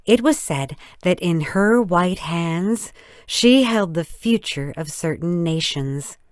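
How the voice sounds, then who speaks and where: A woman reading a story as scripted narration, in measured, deliberate, careful phrases. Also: on the low side, lively intonation, no room sound, clean studio sound. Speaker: audiobook narrator.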